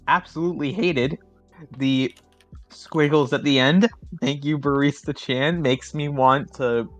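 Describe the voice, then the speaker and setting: A man's voice: mid-range voice, fairly bright tone. Man hosting a casual, sweary livestream. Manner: sweary, jokey, theatrical pauses. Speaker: host and judge of a fanfiction contest stream. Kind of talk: casual group livestream chat.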